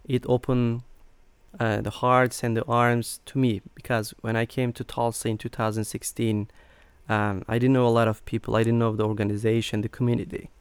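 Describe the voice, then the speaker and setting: Guy, interview guest, lots of um you know, crisp clear voice. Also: mid-range voice, level tone, normal speed, no room sound. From Turkey. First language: Turkish. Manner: hesitant, many fillers and restarts. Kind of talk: conversational interview.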